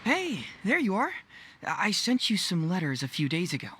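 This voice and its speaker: A woman playing a poised, playful investigator in a video game, speaking in a measured, theatrical, teasing way. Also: lowish voice, huge pitch swings, a bit bright, slight background noise. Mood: confiding, assured.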